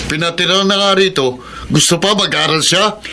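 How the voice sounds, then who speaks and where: Mean greedy stepdad in a radio soap, sharp forceful voice, mocking and bossy, bit of noise behind. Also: male voice, sits high, unhurried, heavily acted. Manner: sneering, taunting, bossy. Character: cruel, mocking, money-minded stepfather of a household. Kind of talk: radio drama acting.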